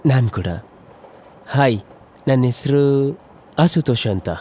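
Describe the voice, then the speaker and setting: A man voicing a young male train traveller in a horror story, chatty and expressive with some hesitation. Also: medium pitch, slow pace, slightly rough. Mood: friendly, eager. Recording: music behind the voice.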